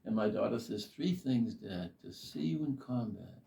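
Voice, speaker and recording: An older man with a Boston accent, reminiscing in a life story interview in a halting, meandering way. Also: fairly low pitch, expressive, gravelly, mellow. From Boston area, United States. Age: older, 70s or above. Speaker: interviewee, retired army officer. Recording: roomy, distant, slightly muffled.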